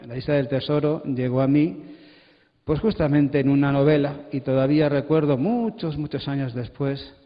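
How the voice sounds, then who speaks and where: A man's voice: medium pitch, normal speed. Wry Spanish storyteller, echoing hall, man. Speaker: radio drama panelist. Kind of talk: storytelling talk on a panel. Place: large hall at a congress. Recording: muffled, long hall reverb.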